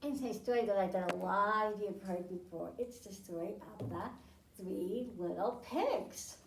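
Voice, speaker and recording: A woman librarian hosting a children's storytime and science lesson in a library, speaking slowly and clearly and asking the kids questions. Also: mid-range voice. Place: library room, indoors. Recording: echoey room, mic at a distance.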